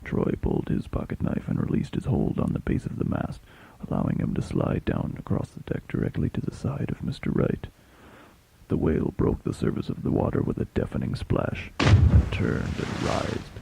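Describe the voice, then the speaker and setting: A man narrating a dramatic first-person sea story as a shipwrecked fishing captain, intense, theatrical and deliberate, with a gravelly, husky voice. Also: very deep voice, wildly swooping pitch, warm tone, low-quality recording. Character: shipwrecked fishing captain telling his tale.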